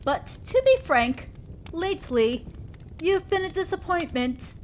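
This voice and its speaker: A woman plays an imperious demon lord of hell with a distinctly high feminine voice. She is commanding and condescending, an exacting boss in an audio roleplay. Clear background noise and ambience sit behind her. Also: animated, unhurried. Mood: stern, threatening. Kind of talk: scripted roleplay audio drama monologue.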